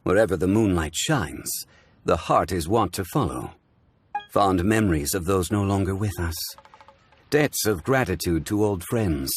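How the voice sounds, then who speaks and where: Very deep, dignified, reflective gentleman. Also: lively intonation, a little hiss or hum. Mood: calm, wistful. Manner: formal, poetic, deliberate. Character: dignified, reflective ancient gentleman, calm and wistful. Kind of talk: video game voice acting.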